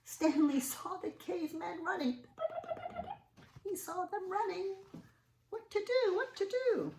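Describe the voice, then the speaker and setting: A woman reading a picture book aloud in an animated, sing-song way, pausing to put questions to young listeners. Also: very high voice, a little hiss or hum. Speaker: children's read-aloud storyteller. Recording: roomy, echoing indoor sound.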